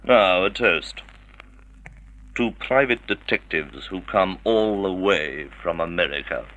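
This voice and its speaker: Jealous sardonic rival, low and forceful, smooth menace, vintage radio drama. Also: male voice, expressive, slow pace, exaggerated voice, low-quality recording. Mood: falsely jovial. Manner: forceful, sardonic, falsely jovial. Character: jealous, menacing man hiding behind smooth sociability.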